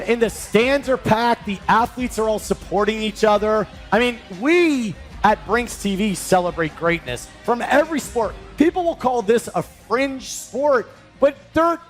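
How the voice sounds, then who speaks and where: A high-voiced man hosts an interview with rambling, emphatic energy. His pitch leaps around constantly as he riffs. Faint music plays underneath. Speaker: show host. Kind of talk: animated interview hosting.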